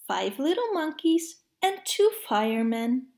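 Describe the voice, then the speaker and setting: A woman narrating children's picture books aloud in a deliberate, expressive way suited to young children. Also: high voice. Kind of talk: read-aloud storybook narration.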